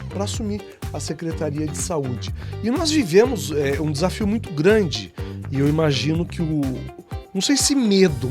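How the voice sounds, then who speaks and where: A man hosting a podcast, chatty and opinionated, stuffed up from a cold. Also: a little high. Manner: chatty, opinionated, jokey asides. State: congested with a cold. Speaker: podcast host. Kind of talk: casual talk show chat.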